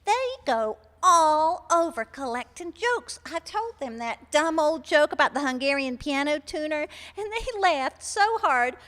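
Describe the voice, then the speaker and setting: Folksy older lady caller, played by an actress, high voice, sweet and rambling, live radio comedy in a reverberant hall. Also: expressive, a little slow, few pauses, a bit bright. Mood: cheerful, gossipy. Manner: rambling, homespun storytelling. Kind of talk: comic live radio theater acting.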